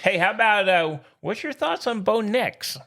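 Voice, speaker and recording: American dude on a sports podcast, bit of vocal fry, pitch bounces around a lot, super informal banter. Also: male voice, sing-song, moderate pace, a bit bright. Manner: informal, peppered with "man", "dude" and "sir".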